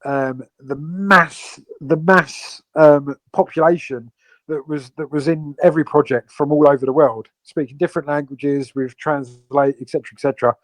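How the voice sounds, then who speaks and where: A man's voice: a little high, steady tone, moderate pace, dry recording, low-quality recording. A man from the UK, co-owner of a crypto project, explaining it at length in an online Q&A with many fillers and restarts. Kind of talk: AMA guest explaining a project.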